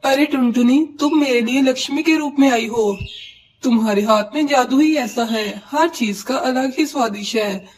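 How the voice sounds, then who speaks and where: A young male cartoon pigeon acted by a woman with a fairly high voice. He speaks as an earnest husband and dutiful son. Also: moderate pace, slightly rough. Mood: admiring. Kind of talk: Hindi cartoon dialogue.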